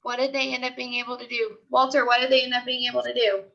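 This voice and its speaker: A woman's voice: a little high, flat delivery, fast, gravelly, a bit bright. A woman teacher reading a story aloud and questioning pupils in an instructional way, often repeating her phrases. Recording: dull lo-fi audio.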